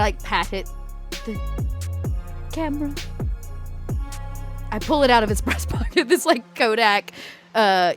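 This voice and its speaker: Expressive woman with a lively voice. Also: mid-range voice. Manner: playful, expressive, hesitant fillers. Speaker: player. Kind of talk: roleplaying game banter.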